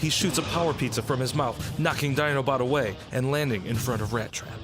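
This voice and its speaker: A man's voice: mid-range voice, brisk, music in the background. A man narrating a scripted action story in the present tense for an audio drama.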